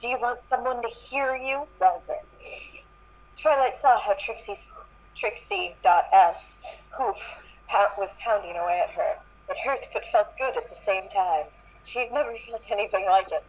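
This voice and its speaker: Woman, amateur story reader, mid-pitched, trips on lines and comments on them, dull low-fidelity sound. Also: normal speed, darkish tone. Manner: stumbles over words and repeats them. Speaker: reader in a group fan fiction reading. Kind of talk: amateur read-aloud of a story, with asides.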